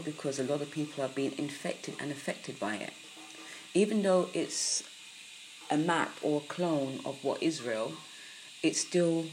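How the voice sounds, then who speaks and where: Croaky-voiced woman musing softly. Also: deep voice, slight background noise, low-quality recording. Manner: soft, unhurried, reflective, with many pauses and fillers. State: croaky morning voice. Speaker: spiritual podcaster. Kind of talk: spiritual podcast monologue.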